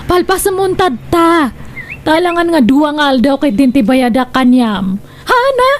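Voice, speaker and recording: A woman's voice: high voice, very animated, normal speed, a little hiss or hum. A woman playing a bossy, demanding employer in a radio drama, nagging with an Ilocano accent. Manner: commanding, nagging. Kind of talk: Filipino radio drama acting.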